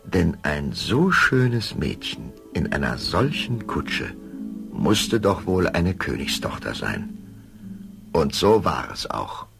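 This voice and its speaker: A man's voice: deep voice, very animated, slow pace, gravelly, over music. A man narrating a scripted fairy tale as a storyteller.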